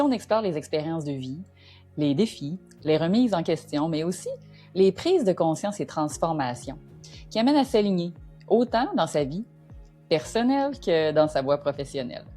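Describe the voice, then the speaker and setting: A woman who hosts a podcast. She reads a scripted intro and then welcomes her guest, backing the talk with frequent small agreements. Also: deep voice. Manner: warm welcoming interviewer, frequent backchannels. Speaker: podcast host, hypnotherapist. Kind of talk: scripted intro, then casual interview hosting.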